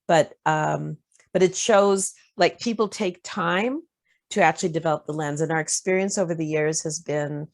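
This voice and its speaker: A woman presenting her framework and leading an online workshop discussion, talking in a relaxed, conversational way with many fillers. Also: deep voice, normal speed. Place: video call.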